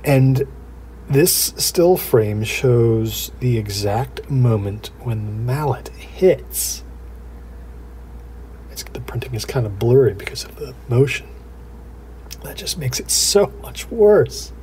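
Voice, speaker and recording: A man performing a first-person horror story like a spoken diary, in a halting, uneasy, confiding way. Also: medium pitch, expressive, darkish tone. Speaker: narrator of a horror audio drama. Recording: clear background noise.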